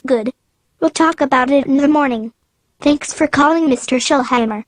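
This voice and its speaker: An actress playing a brisk, practical working mother with a no-nonsense manner in an old-time radio drama. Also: high-pitched, close to an everyday voice. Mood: businesslike.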